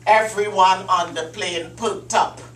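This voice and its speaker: Slow, dramatic woman telling a story. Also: medium pitch, steady tone, a bit bright. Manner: deliberate dramatic storytelling with pauses. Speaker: Toastmasters club speaker. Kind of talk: prepared humorous speech. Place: meeting room. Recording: echoey room, distant mic, faint hum.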